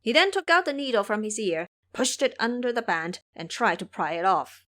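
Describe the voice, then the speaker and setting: A woman's voice: medium pitch, animated, moderate pace, a bit bright. Woman narrating an audio storybook. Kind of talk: scripted audio drama storytelling.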